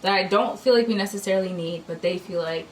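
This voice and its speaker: A woman's voice: lowish voice, fast. A woman in her twenties, the host, chatting casually and telling stories with many fillers. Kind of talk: casual chat. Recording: distant mic with faint noise.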